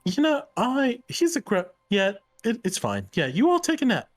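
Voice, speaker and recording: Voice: medium pitch, huge pitch swings, a little hiss or hum. A player voicing a hesitant young male companion who stammers and halts often. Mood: flustered. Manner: stammering, halting.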